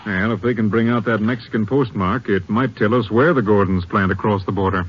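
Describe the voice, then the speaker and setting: American ranger captain, all business, lowish voice, dull old radio drama sound. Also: male voice, fairly quick, breathy edge. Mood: businesslike. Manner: businesslike, matter-of-fact. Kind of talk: 1950s radio western acting. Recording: dull vintage radio recording.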